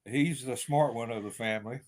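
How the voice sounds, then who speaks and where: Older East Texas man, interview guest, rambling and chuckling, mid-pitched voice. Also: fairly quick. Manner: rambling, many fillers and restarts, chuckles often. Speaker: author guest. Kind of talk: casual interview chat.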